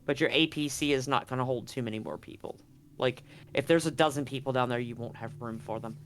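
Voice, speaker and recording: Game master narrating with dramatic drawn-out pauses. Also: mid-range voice. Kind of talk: improvised narration of a tabletop game.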